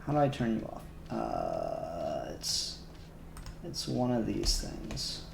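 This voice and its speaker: A man's voice: deep voice, wildly swooping pitch, slow, gravelly, background noise. A man live-streaming his 3D modelling work, talking casually and muttering to himself, a little self-conscious. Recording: echoey room, faint music behind.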